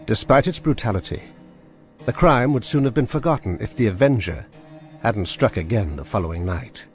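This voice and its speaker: Grave, lively-toned male narrator. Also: on the low side, soft background music. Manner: measured, grave storytelling. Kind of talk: scripted radio drama narration.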